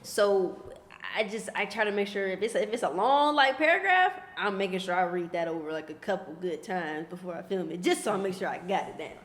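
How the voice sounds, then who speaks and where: A woman's voice: mid-range voice, expressive, brisk. A woman speaks casually, circling back with frequent "like"s and restarts as she walks through her routine. Her voice rings in a reverberant room with a long tail of reverb, and a faint hiss sits under it. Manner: talks through her process with many likes and restarts. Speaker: actor guest. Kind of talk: casual chat.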